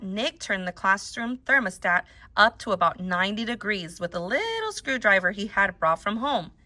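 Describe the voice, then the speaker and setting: Animated woman reading a children's story. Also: medium pitch. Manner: animated, deliberate storytelling emphasis. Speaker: teacher reading a book aloud. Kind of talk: expressive children's story narration.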